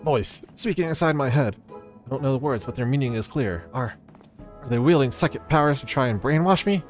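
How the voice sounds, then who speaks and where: A man voicing an excitable, pompous tabloid reporter with a bombastic, dramatic delivery for a video game. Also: mid-range voice, fairly quick, soft background music, voice pushed up, natural voice. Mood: awestruck. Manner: bombastic, declamatory, stammering in alarm.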